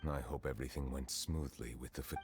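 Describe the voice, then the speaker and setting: Very deep, solemn, dignified judge. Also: male voice, fairly even intonation. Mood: earnest, polite. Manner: grave, formal, slow. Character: solemn, literal-minded, dignified male judge. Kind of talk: video game voice acting. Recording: loud music under voice.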